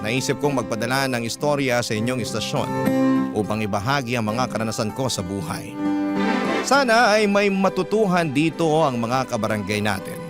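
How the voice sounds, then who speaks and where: Casting: male Filipino drama host, lively melodic range, dramatic letter-reading over prominent music. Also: mid-range voice, moderate pace. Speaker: radio drama host and narrator. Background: background music.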